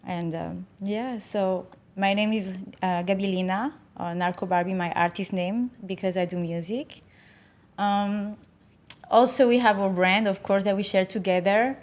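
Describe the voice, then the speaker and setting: A woman's voice: mid-range voice, steady tone, unhurried. A woman guest who makes music, talking in a friendly, chatty, agreeable way. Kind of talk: casual podcast interview.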